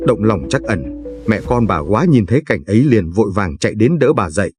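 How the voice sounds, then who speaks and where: A man's voice: lowish voice, expressive, brisk, a little mellow, soft background music. A man narrating a folk tale as a scripted story, in a solemn, emotive and dramatic manner.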